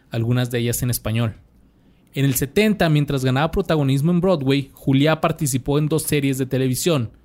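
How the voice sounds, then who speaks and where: A man's voice: mid-range voice, darkish tone. A young adult man with a Mexican accent, casually reading out a biography on a podcast with slangy asides. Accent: Mexican Spanish. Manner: laid-back, slangy, reads from notes with restarts. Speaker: podcast host.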